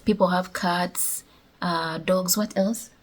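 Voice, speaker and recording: Husky young Ugandan woman chatting. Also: fairly low pitch, takes it slowly. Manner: animated storytelling with laughs. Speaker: vlogger. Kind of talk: casual chat.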